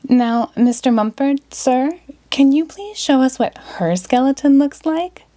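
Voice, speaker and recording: A woman's voice: high voice, unhurried, warm tone, voice pushed up. A woman voicing an impatient, earnest young girl in a children's storybook, with emphatic, carefully spelled-out phrases. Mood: sweet, pleading. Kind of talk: children's storybook read-aloud.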